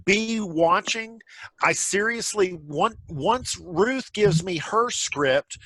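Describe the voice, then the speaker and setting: Man, host of an online meeting. Fairly high voice, dry sound, stop-and-start casual talk. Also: lively intonation, slow pace, pushed and bright, dry recording. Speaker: host of a virtual play reading. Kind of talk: casual discussion, wrapping up a meeting. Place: indoors on a video call. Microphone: video call microphone.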